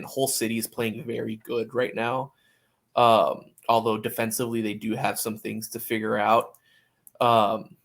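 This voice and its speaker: A man co-hosting a sports podcast in casual chat, talking haltingly with repeated words and false starts. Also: medium pitch, a bit bright.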